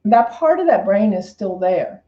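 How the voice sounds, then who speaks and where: A woman's voice: medium pitch, very animated, a little slow. A woman minister giving an informal, unscripted spiritual talk in a reflective, conversational way, often repeating and rephrasing her phrases. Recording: roomy, slightly dull lo-fi sound.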